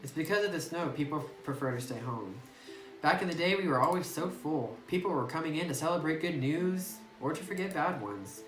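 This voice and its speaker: A man's voice: a little high, lively intonation, fairly quick, a bit bright, voice pushed up. A man reading the lines of a friendly, nostalgic ramen shop owner, in a cheerful and welcoming way. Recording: roomy distant phone recording with music.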